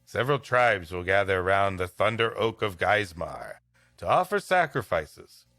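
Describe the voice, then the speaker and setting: A man's voice: sits low, sing-song, moderate pace, bright, forceful tone, no room sound, studio quality, faint music behind, voice pushed down, exaggerated voice. A man voicing a zealous, resolute missionary saint from an old tale, solemn and declamatory. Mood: resolute, rousing.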